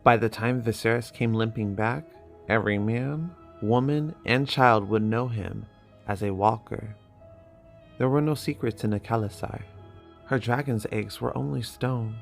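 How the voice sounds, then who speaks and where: A man narrating a fantasy story from a script in a measured, dramatic manner. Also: mid-range voice, creaky, faint music behind.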